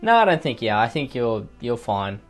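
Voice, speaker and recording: A man's voice: mid-range voice, big pitch swings, normal speed, soft background music. A man with an Australian accent reading out online posts and riffing on them with profane, sarcastic, rambling comedic asides. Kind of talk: comedic commentary on read-out posts.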